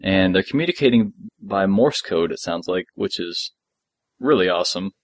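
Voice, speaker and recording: A man with a somewhat deep voice co-hosts a casual podcast. The sound is dry, with no room echo, as he talks conversationally through story details with his partner. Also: animated, normal speed. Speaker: podcast co-host. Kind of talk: casual discussion of an audio drama.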